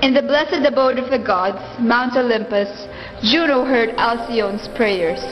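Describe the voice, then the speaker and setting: A woman's voice: on the high side, measured pace, slight background noise. A woman narrating a scripted radio play as a storyteller, in a formal, literary, dramatic manner. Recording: echoey hall reverb, clear music behind.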